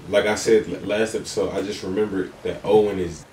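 Chatty young man reacting loudly. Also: low-pitched, steady tone, brisk. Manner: loud, chatty, thinks out loud. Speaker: reaction video host. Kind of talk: casual reaction commentary. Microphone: headset. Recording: roomy echo, distant mic, faint noise.

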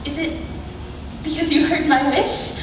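A wistful, earnest young fairy-tale heroine played by a young woman in a stage musical. She speaks high and hesitantly, in a very echoey theatre heard from a distance. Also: steady tone, unhurried, noisy background. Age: young adult. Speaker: student stage actress. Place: large indoor theatre stage, distant camera. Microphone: far camera.

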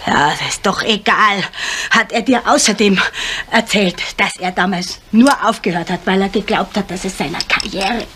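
An actress playing a bitter, desperate middle-aged woman in a crime radio drama, halting and repeating words, sometimes dropping into whispers. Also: mid-range voice, a bit bright, slightly rough. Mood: bitter confessing. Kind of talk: naturalistic German radio crime drama acting. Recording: noisy 1970s radio play recording.

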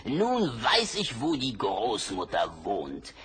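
An actor playing a sly, greedy wolf in an old record radio play, chuckling to himself. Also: male voice, medium pitch, huge pitch swings, slow pace, fairly bright tone, exaggerated voice. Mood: gloating. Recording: vinyl record, faint hiss.

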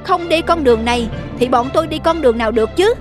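A woman's voice: high voice, fast, music in the background, lightly acted. A woman voicing a big, arrogant young elephant in a cartoon, haughty and mocking. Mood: scornful. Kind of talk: cartoon dialogue acting.

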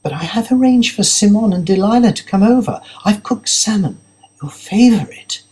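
Man doing a woman's voice, raised pitch, anxious fiancée, pleading, insistent questions, audiobook character. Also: expressive, normal speed, darkish tone. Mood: suspicious, dismayed.

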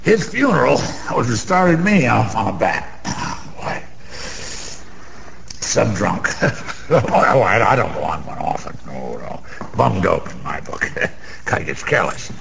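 A middle-aged Broadway gambler in a radio play, slow and gravelly, in a very reverberant space. He brags and wisecracks in boozy, rambling slang, like a chummy know-it-all. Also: lowish voice, big pitch swings, a bit bright, exaggerated voice. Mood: boozy, bragging. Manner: slangy, chuckling, rambling, streetwise. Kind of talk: 1990s radio drama acting. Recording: reverberant hall, faint background noise.

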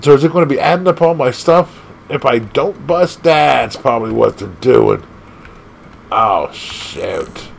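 Excitable man with a gravelly voice. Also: a little slow, slight background noise. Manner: excitable, laughs often, jumps between squeaky highs and low growls. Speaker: gaming channel host. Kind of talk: casual arcade gameplay commentary.

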